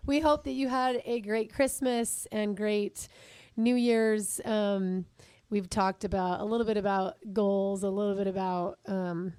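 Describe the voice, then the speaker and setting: A middle-aged woman with a husky, raspy voice, hosting a casual podcast chat, joking about herself and laughing often. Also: mid-range voice, steady tone, a little slow, a little mellow, no room sound. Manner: chatty, self-deprecating, laughs often. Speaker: podcast host.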